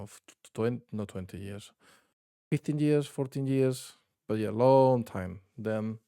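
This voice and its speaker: A man's voice: on the low side, creaky, dark tone, no room sound, studio quality. A man from Spain with a strong Spanish accent, hosting his tabletop gaming channel in casual, rambling talk with many restarts. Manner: rambling, frequent restarts and fillers. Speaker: channel host.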